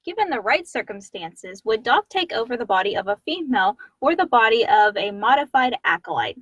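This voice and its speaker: A woman's voice: fairly high pitch, big pitch swings, fairly quick, a bit bright, slightly rough, no room sound, muffled recording. A woman co-host reading questions aloud and chatting casually about them.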